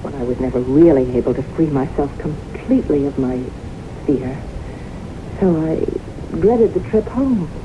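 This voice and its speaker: A woman's voice: sits low, lively intonation, moderate pace. An actress playing a haunted, fearful, romantic woman of forty-one in an earnest, dramatic radio play style. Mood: apprehensive. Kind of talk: 1970s radio drama acting. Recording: muffled, noisy old radio broadcast.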